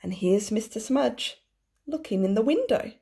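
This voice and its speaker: A woman teacher reading aloud to young children, explaining things simply and deliberately and putting questions to them. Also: on the high side, expressive, gravelly, warm tone. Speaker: early primary school teacher.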